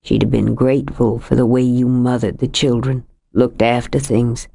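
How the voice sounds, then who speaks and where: Woman putting on a low, grieving widower. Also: level tone, normal speed, creaky, dark tone, exaggerated voice. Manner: low, heavy and bitter. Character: grieving stubborn middle-aged widower, rough-edged. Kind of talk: audiobook character reading.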